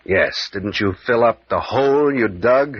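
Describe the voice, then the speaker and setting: An American actor playing a bold, sardonic adventurer captain in an old-time radio serial, with needling, probing delivery. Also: male voice, medium pitch, lively intonation, a little slow, slightly rough. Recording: muffled, echoey vintage radio recording.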